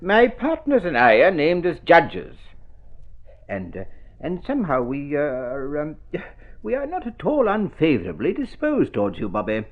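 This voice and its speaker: An actor playing a fussy, formal lawyer in an old radio drama, speaking precisely with proper, hesitant phrasing. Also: male voice, on the high side, wildly swooping pitch, moderate pace. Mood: formal, measured. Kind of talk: golden-age radio drama acting. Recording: muffled vintage radio recording.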